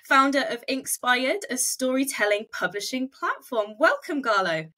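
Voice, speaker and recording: Bright-voiced woman hosting an interview. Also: high-pitched, sing-song, moderate pace. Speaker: podcast host interviewing an author-platform founder. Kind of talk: casual interview chat.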